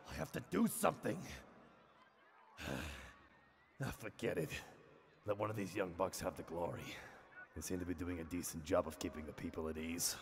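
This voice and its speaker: An actor playing a worn-out, bitter, foul-mouthed older superhero in a gruff, jaded way for an animated parody dub. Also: male voice, deep voice, moderate pace, long pauses. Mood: self-doubting. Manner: jaded, gruff, theatrical outbursts. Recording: music behind the voice.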